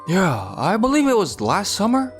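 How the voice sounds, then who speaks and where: A man's voice: high-pitched, huge pitch swings, moderate pace, faint music behind. A man voicing a friendly, gossipy young office colleague in an English manga dub, casual in manner. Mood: chatty, confiding.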